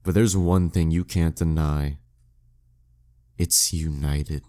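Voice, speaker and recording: A man's voice: rumbling low, steady tone, takes it slowly, warm tone. A man playing a smug, dominant, seductive demon king in a flirty audio roleplay, teasing and condescending with courtly menace. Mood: grand, persuasive. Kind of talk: flirty audio roleplay monologue addressed to the listener.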